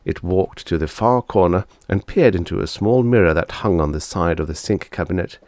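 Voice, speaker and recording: A man's voice: sits low, moderate pace, darkish tone, no echo, studio quality. A man reading a short story aloud as scripted audiobook narration.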